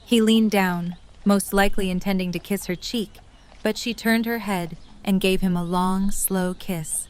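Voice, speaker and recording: A woman's voice: mid-range voice, fairly even intonation, a little slow, clean tone. A woman reading scripted third-person story narration for an audio drama.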